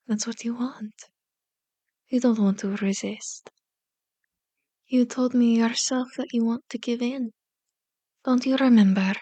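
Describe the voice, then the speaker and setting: A soft, slow female vampire played by a woman murmurs hypnotically in an ASMR roleplay. Her voice is fairly high but mellow, slipping into breathy whispers. She is soothing, possessive and quietly manipulative, very close to the mic. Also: no echo. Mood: soothing, hypnotic. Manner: slow, soothing, coaxing, intimate. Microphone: close microphone, dry.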